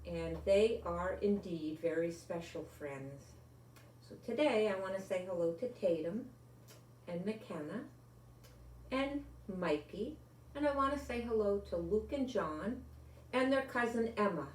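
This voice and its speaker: An older woman reads a children's story and talks to young viewers in a deliberate, expressive way. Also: medium pitch, a little hiss or hum. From Rhode Island, United States. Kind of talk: children's storybook read-aloud and friendly address to young viewers. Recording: echoey room, distant microphone.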